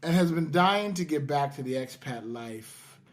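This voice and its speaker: A man hosting a podcast, telling stories casually in a halting, self-deprecating way. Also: fairly high pitch, expressive. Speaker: podcast host. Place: in-person podcast recording in Las Vegas.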